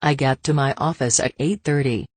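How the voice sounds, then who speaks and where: A woman with a very deep voice for a woman reads learner phrases one by one. Her delivery is slow and measured, with a pause after each line. The recording is dry, with no reverb. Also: breathy edge. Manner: slow, even, careful phrase-by-phrase reading. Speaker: phrase reader. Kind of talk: reading translated phrases for learners.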